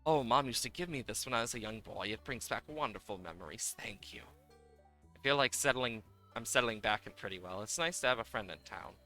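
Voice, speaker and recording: A man's voice: mid-range voice, animated, fairly quick, bright, forceful tone, faint music behind. A man reading the part of a wistful, grateful male villager in a video game, speaking reflectively. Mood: nostalgic. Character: wistful, grateful grown man settling into town.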